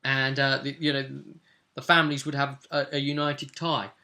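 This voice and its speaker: Bright-voiced man running a fantasy role-play game. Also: medium pitch, little pitch movement, moderate pace. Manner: storytelling, acting out quoted dialogue. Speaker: game master. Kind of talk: recapping a tabletop role-play session conversationally.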